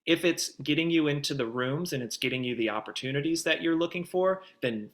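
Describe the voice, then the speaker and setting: A man's voice: on the high side, fairly quick, a bit bright. A man giving conversational, explanatory advice as a guest producer in an online Q&A.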